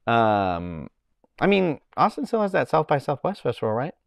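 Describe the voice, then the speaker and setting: A man's voice: mid-range voice, big pitch swings, moderate pace, vocal fry, no echo, studio quality. A man with a Boston accent, hosting a casual livestream from home and chatting in a rambling way while he reads the chat. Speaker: livestream host.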